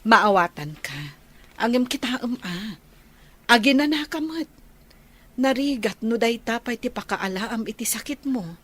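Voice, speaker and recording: An actress playing a caring, generous elder sister in an Ilocano radio drama, with an Ilocano accent and a husky voice. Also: female voice, on the high side, lively intonation, measured pace, fairly bright tone, heavily acted. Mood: gently insistent.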